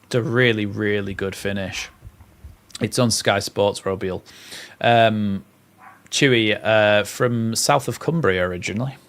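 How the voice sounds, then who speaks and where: A man's voice: fairly low pitch, unhurried, a bit bright. A young man with a northern English accent, calling a live football match on a watchalong stream and chatting with viewers. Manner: play-by-play calls mixed with reading out viewer comments. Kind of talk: live match commentary and chat.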